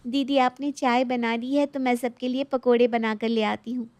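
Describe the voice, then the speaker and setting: A young, respectful daughter-in-law from a storybook cartoon, played by a woman, with a fairly high voice and a dry recording. She is earnest, deferential and eager to please. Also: flat delivery, moderate pace, darkish tone, no room sound, close to an everyday voice. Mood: sweetly dutiful. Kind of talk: animated moral story dialogue.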